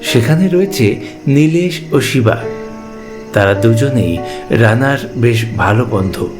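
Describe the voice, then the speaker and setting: A man with a mid-pitched voice reads a scripted ghost story as narrator. Music plays clearly behind his storytelling. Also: animated, takes it slowly.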